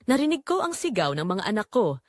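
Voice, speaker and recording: A woman performs a dying mother who has suffered quietly for years, narrating an audio drama. Her delivery is sorrowful and pleading, with lively rises and falls in pitch. The sound is dry and free of room echo. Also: brisk. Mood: pained, somber. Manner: solemn, sorrowful, pleading storytelling.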